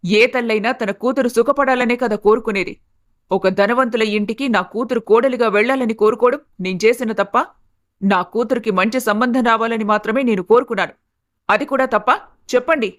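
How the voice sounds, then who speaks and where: A woman acts out a middle-aged, status-obsessed mother-in-law from an animated melodrama. Her voice sits fairly high and drips with indignation and sarcasm as she scolds and accuses. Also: fairly quick, natural voice. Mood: indignant, defensive. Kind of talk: melodramatic animated family story acting.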